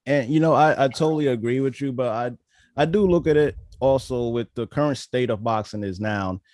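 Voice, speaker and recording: A relaxed man co-hosts a casual podcast interview, agreeable in his replies. His mid-pitched voice is recorded dry, with no room sound. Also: a little fast, no echo. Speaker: podcast co-host.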